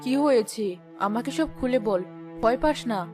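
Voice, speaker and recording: Cautious fairy-tale mother, acted by a woman: middle-aged, concerned, advising, noticeably lower in pitch than a young girl. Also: moderate pace, music in the background, natural voice. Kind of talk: animated fairy-tale dialogue.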